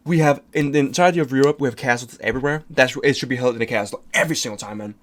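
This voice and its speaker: A man's voice: medium pitch, rapid-fire, fairly bright tone. Rambling young Danish-accented streamer man. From Denmark. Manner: rambling, repeats himself, swears often. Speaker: streamer reacting to a video. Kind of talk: casual reaction commentary.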